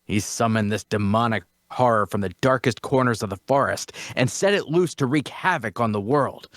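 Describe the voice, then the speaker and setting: A man's voice: medium pitch, normal speed, no room sound, studio quality, close to an everyday voice. A man playing a self-important adventurer, telling a heroic tale in a grandiose, theatrical manner at a table read. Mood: grave, dramatic. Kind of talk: dramatic fantasy dialogue reading.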